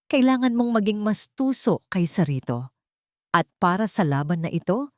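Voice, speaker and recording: Low-voiced Filipino woman narrating, dry sound. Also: a little mellow, no echo. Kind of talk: scripted dramatic storytelling narration.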